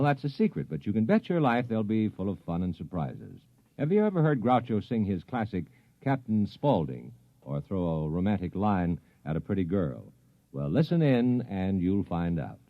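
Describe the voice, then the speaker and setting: A man's voice: lowish voice, no echo. A man reading an upbeat 1950s radio promo in a salesmanlike announcer style, on a tinny old broadcast.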